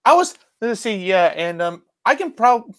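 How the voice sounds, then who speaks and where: Halting, hesitant podcast host. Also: mid-range voice, sing-song, clear, resonant tone. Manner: halting and hesitant, circling back on phrases. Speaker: podcast host interviewing a guest. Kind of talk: casual, unscripted interview chat.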